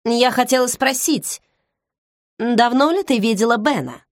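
A woman's voice: fairly high pitch, unhurried, no room sound, clean studio sound, natural voice. A woman reading the part of a nosy, predatory young woman who presses with questions and smiles without meaning it, in an audiobook. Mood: prying. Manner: prying, insistent questions. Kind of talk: audiobook character voice.

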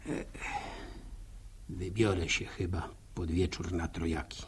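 An actor playing a sympathetic, grumbling village countryman who muses aloud, with a gravelly, husky voice, in a radio drama. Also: low voice, level tone, full character voice. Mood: pensive, worried. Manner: rustic, musing, drawn-out, hesitant repetitions. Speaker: narrator character in a radio serial. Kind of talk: folksy radio drama monologue.

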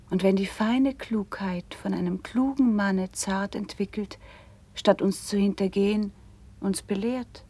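An actress playing a gentle, thoughtful noble princess, speaking formal verse in a measured, soft-edged way in a classical radio drama. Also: female voice, medium pitch, husky. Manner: measured, formal, poetic declamation.

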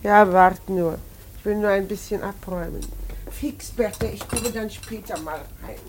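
A woman's voice: mid-range voice, normal speed, background noise, close to an everyday voice. An actress in a radio play, playing a worried, reproachful older mother with a plaintive way of talking. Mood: flustered. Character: careworn, reproachful older mother of grown children. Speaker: actor in a radio drama.

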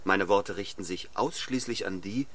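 A stern young clergyman, acted by a man in a literary radio drama. His voice sits fairly low and he speaks with cold, solemn control, pressing his will on the listener like an order. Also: normal speed, a little hiss or hum, natural voice. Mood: solemn, insistent. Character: St. John Rivers, stern, cold, domineering young clergyman missionary. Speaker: actor in a radio play.

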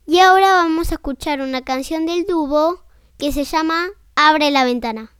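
A woman's voice: sits high, moderate pace, fairly bright tone, no echo, studio quality. A woman radio host presenting informally to her listeners.

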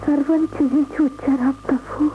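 Woman acting a high-voiced, adoring young dancer. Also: steady tone, normal speed, a little hiss or hum, lightly acted. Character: devoted young dancer, adoring beloved of a prince. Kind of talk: radio play acting. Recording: dull radio recording, faint music.

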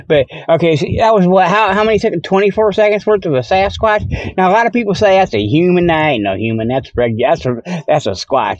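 A man's voice: fairly high pitch, darkish tone, dry recording, highs cut off. A man hosting a podcast in a rambling, tongue-in-cheek monologue, with folksy, colloquial phrasing full of "okay" and "you know". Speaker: podcast host.

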